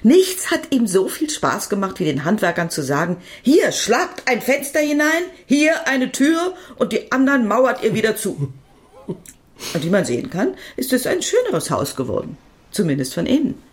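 A woman's voice: fairly high pitch, big pitch swings, moderate pace. An actress playing a devoted woman doctor who tells of her love in a reflective, intimate radio drama monologue. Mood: reflective, tender.

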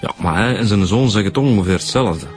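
Low-voiced, wry Flemish detective, played by a man. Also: fast talker, faint music behind, natural voice. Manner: colloquial, wry, questioning. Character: wry, informal male police detective. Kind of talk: radio drama acting.

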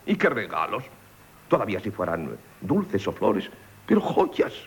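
A man's voice: on the low side, wildly swooping pitch, measured pace, breathy edge, exaggerated voice. A man playing a vain, touchy, penniless marquis in a radio comedy, pompous and blustering, stammering when cornered, with a Castilian Spanish accent. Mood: haughty, indignant. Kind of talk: theatrical radio comedy acting.

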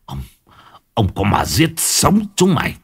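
A man voicing an enraged older village chief who shouts and curses at his betrayers. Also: fairly low pitch, big pitch swings, normal speed, vocal fry, full character voice. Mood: furious. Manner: furious shouting and cursing.

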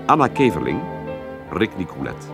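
A man's voice: low-pitched, sing-song, creaky. A man reading a formal, scripted announcement as the announcer of a radio drama. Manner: formal, measured. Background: music.

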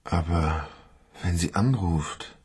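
Radio drama: timid, shaken suspect, husky and whispery. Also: male voice, low voice, exaggerated voice. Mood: anxious. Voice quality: halting, hushed, often whispering. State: sedated, in shock. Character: dazed, sedated man in shock, timid. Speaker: murder suspect in shock.

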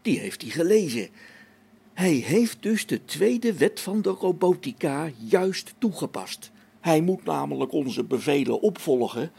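A man's voice: a little high, vocal fry, darkish tone, slightly rough, full character voice. A man playing an irritable, sardonic space station engineer in a radio play, emphatic and impatient.

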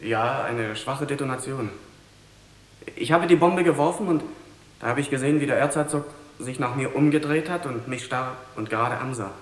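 An actor playing a captured bomb-thrower giving a sober, halting, matter-of-fact confession in a German radio drama. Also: male voice, medium pitch, echoey room, close to an everyday voice. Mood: grim, resigned.

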